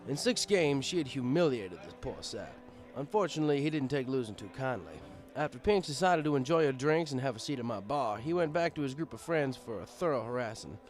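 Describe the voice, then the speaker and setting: A man reading a first-person story aloud as narrator, in a conversational, wry storytelling style. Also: medium pitch.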